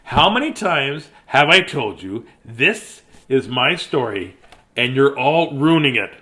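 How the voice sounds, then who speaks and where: An exasperated, self-important storybook narrator acted out by a man, whiny and insistent. The voice leaps up and down with bright, forceful protest. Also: mid-range voice, very animated, slow pace, full character voice. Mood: indignant.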